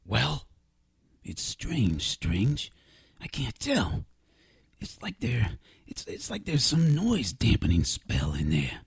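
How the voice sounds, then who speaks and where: An actor playing a funny-looking little magical man in an audio drama, wisecracking, sarcastic and lecherous, with a raspy, creaky voice. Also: medium pitch, huge pitch swings, takes it slowly, exaggerated voice. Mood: hesitant, muttering. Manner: sarcastic, griping, exclamatory.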